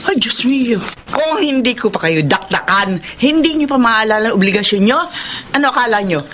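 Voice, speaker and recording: A woman's voice: fairly high pitch, lively intonation, moderate pace, a little hiss or hum. An actress playing a bitter middle-aged mother who nags and scolds with sarcasm in a Filipino radio drama. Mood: scolding. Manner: sharp, sarcastic, ranting.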